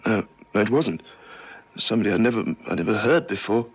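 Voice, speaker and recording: An actor playing a shaken, apologetic young newspaper reporter in a radio drama, speaking haltingly with stammered repeats. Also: male voice, on the low side, lively intonation, breathy edge. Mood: hesitant, earnest. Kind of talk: 1970s radio drama acting.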